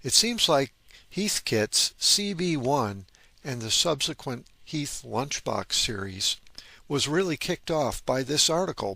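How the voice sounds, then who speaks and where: A man's voice: medium pitch, gravelly, sharp tone, dry recording. A man hosting a hobby channel, narrating informally with an enthusiast's interest and wry asides. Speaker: host of a vintage radio hobby channel. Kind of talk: informal narration on vintage radio gear.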